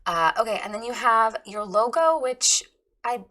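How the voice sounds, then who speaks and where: Bright, frank woman with a hoarse voice. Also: on the high side, lively intonation, moderate pace, a little hiss or hum. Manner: frank, chatty, many ums and restarts. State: hoarse, recovering from lost voice. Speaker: website reviewer giving feedback. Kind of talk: casual spoken critique.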